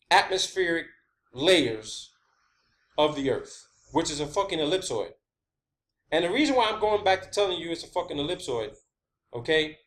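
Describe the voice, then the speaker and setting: A man delivering an informal, rambling science-theory monologue, emphatic and sweary, often repeating his phrases. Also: sits high. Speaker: online video host.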